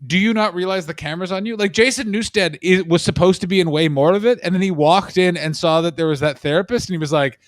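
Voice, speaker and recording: A man co-hosting a casual comedic chat, excitable and quick to swear and joke. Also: sits high, dry recording. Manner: excitable, swears freely, jokey impressions. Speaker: podcast co-host.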